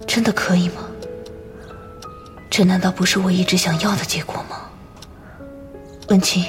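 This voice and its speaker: An actress playing a guarded, haunted and quietly determined woman in a modern audio drama. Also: lowish voice, little pitch movement, moderate pace, lightly acted. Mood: tender, hesitant. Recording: voice under prominent background music. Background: music.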